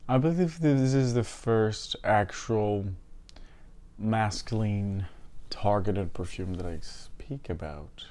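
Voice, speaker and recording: Slightly hoarse man, fairly low voice. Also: mellow. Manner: hesitant, halting, often repeating words. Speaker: fragrance reviewer and host. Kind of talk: casual unscripted review to camera.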